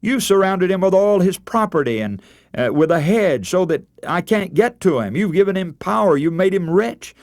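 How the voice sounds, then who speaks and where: A man's voice: medium pitch, huge pitch swings, normal speed, creaky, hardly any pauses, dry recording, clean studio sound, exaggerated voice. A man preacher voicing Satan, a sly and scornful supernatural accuser, with insistent emphasis in a sermon story. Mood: scornful, accusing.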